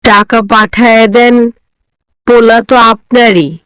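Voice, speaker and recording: A woman's voice: a little high, steady tone, slow pace, a bit bright, clear, resonant tone. A woman voicing a weary, practical village wife in a cartoon. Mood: pragmatic.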